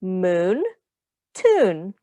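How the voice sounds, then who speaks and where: A woman teacher reading a picture book aloud to young children, explaining things in simple phrases and pausing to ask questions. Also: fairly low pitch, sing-song, mellow, dry recording.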